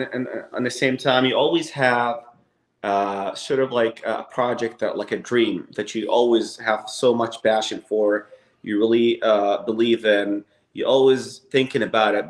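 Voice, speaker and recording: A low-voiced Egyptian-accented man speaks over a laptop webcam from an echoey living room. He keeps stopping and restarting, padding his thoughts with fillers as he answers an interviewer. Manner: halting, many fillers and restarts. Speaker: actor and producer guest. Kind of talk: casual interview on a video call. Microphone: laptop webcam on a video call.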